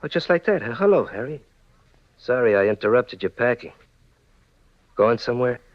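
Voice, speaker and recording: An actor playing a cold-blooded crook in a 1940s radio crime drama, talking in tough slang with mock tenderness. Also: male voice, medium pitch, expressive, moderate pace, no room sound, natural voice. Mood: suspicious, sarcastic. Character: menacing, cold-blooded male crook turning on his partner. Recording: dry, dull 1940s radio recording.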